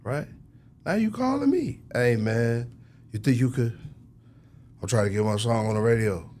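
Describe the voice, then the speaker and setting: A man's voice: medium pitch, animated, moderate pace, a little mellow. A man talking casually as a record executive in an interview, emphatic and slangy, repeating phrases for effect. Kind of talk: casual, animated interview talk.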